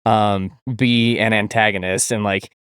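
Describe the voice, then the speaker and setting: Low, dry-sounding man, halting casual co-host. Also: fairly even intonation, fairly bright tone, clear, resonant tone, no echo. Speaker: podcast co-host. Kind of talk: casual chat, sweary.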